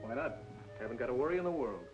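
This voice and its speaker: Man playing a fast-talking, cocky noir charmer. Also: medium pitch, lively intonation, natural voice. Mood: breezy, cocky. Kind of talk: 1940s-50s film noir radio drama acting. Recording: dull old radio recording, loud music.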